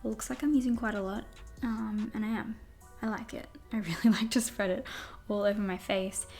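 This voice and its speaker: A young woman with a husky voice talks through a makeup tutorial in a breezy, rambling way, poking fun at herself. Her voice sits at an ordinary middle pitch. The small room around her adds a roomy echo, with faint music running underneath. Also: fairly even intonation, fairly quick, darkish tone. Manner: bubbly, rambling, self-mocking with laughs. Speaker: beauty vlogger. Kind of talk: casual chatty makeup tutorial.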